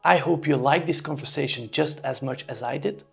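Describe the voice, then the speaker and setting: Gravelly husky male podcast host. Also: fairly high pitch, normal speed, faint music behind. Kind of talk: casual podcast interview and intro.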